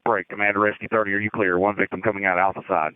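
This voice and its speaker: A man's voice: lowish voice, little pitch movement, rapid-fire, clean tone, no echo. A man working as a fire incident commander, giving clipped, procedural instructions over a two-way radio. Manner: procedural, reads back messages. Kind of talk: clipped radio dispatch communication. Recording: phone-like emergency radio transmission.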